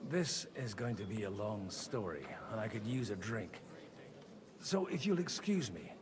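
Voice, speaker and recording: A man's voice: on the low side, sing-song, unhurried, big-room sound, background noise. A man acting an eloquent, worldly noble envoy in a game, courtly and theatrical with dry wit. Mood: dry, weary. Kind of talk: dramatic scripted game acting.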